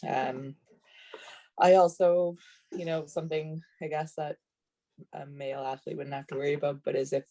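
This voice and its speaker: A woman's voice: low voice, fairly even intonation, normal speed, long pauses, dark tone. A woman in her twenties from Canada, a rugby player on a video call panel, talking casually with lots of fillers and restarts. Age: 20s. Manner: chatty, many fillers and self-corrections.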